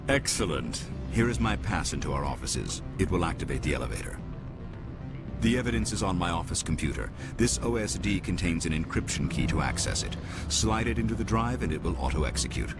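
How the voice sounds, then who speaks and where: An actor playing an old alien businessman in a video game, suave and dryly scheming, with measured formal speech. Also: low-pitched, lively intonation. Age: older. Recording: music behind the voice.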